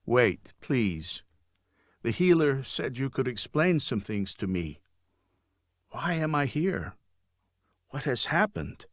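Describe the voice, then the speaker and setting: A man's voice: mid-range voice, big pitch swings, takes it slowly, pauses often, no room sound, studio quality. A man voicing an anxious, bewildered young tribune in an audiobook, questioning in a pleading, distressed way. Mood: confused, pleading. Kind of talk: audiobook character dialogue.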